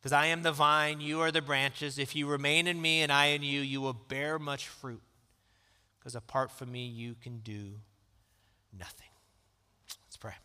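Bright-voiced male preacher in a reverberant hall. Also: mid-range voice, unhurried, clear, resonant tone. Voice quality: calm, soothing voice. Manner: conversational preaching with sudden emphatic rises. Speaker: pastor. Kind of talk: sermon. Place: church sanctuary. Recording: long reverb of a church hall.

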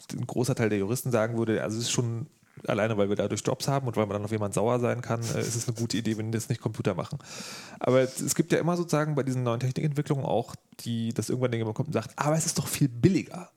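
Male podcast interviewer, informal and wry, gravelly creak in the voice, questions that wander. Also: medium pitch, a little mellow. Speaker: podcast host. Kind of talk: casual conversational interviewing.

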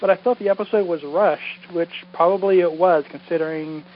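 A man's voice: sits high, steady tone, moderate pace. A man who podcasts, leaving a casual, rambling message over a muffled phone line. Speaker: podcaster calling in. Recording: muffled phone voicemail, faint noise.

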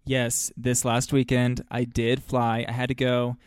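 A man's voice: mid-range voice, completely flat delivery, a little slow, clean tone, no room sound, clean studio sound. A man co-hosting a casual podcast, chatting in an upbeat, easygoing way with plenty of "um" and "like". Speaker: podcast co-host. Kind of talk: casual chat.

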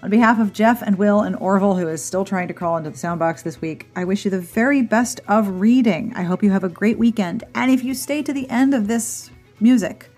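A woman's voice: medium pitch, brisk, few pauses, faint music behind. A woman hosting a podcast, reading sponsor notes, thanks and a silly joke in a chatty, playful way. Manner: chatty, playful, warm delivery of jokes and thanks. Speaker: podcast host. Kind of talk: casual podcast outro with sponsor read.

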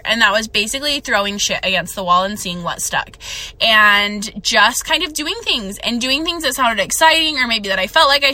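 Woman, young, podcast host, higher voice, stuffed up, excitable rambling chat, phone recording. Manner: chatty, excitable, rambling with fillers. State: stuffed up with a cold. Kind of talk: casual solo podcast monologue.

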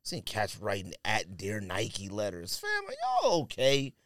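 A man's voice: lowish voice, huge pitch swings, unhurried, a bit bright, no room sound, studio quality. A man co-hosting a podcast in casual sports banter, slangy and opinionated with an exasperated, ribbing edge. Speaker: podcast co-host.

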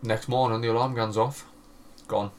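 A man's voice: medium pitch, steady tone, normal speed, a little hiss or hum. A man co-hosting a podcast, chatting casually in a rambling, jokey way and swearing freely. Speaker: podcast co-host. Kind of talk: casual banter.

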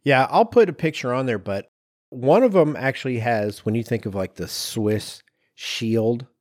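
A man's voice: medium pitch, lively intonation, moderate pace, darkish tone, no echo, clean studio sound. A man co-hosting a podcast, explaining things in a casual, chatty and conversational way. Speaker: podcast co-host. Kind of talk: casual conversational discussion of design objects.

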